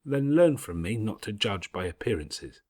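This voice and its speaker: A man voicing a patient, devout, bookish older schoolgirl in an audiobook, speaking in a measured, earnest, moralising way. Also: lowish voice, sing-song, darkish tone, no room sound, clean studio sound. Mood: grave, self-reproachful. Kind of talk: audiobook character voice.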